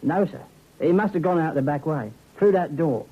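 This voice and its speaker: A man's voice: fairly high pitch, normal speed, slightly rough. An actor playing a humble, hesitant, plain-spoken club doorman with folksy grammar in a vintage radio drama. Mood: helpful. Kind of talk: 1950s radio drama acting. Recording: muffled old radio recording.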